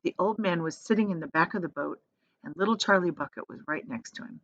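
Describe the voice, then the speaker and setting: A woman's voice: fairly low pitch, quick pace, lo-fi sound, a little hiss or hum. A woman reading a children's story aloud expressively, with emphatic delivery that lingers on descriptive words. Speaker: storybook reader.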